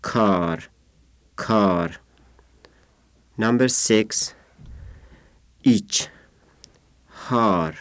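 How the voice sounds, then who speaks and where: A man of thirty-five from Yazd with an Iranian accent, a native Persian speaker, reading out a numbered word list in a deliberate way. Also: lowish voice, a bit bright, no room sound. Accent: Iranian (Yazdi). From Yazd, Iran. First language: Persian (Yazdi dialect). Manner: deliberate, isolated words with numbered glosses. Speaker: language informant.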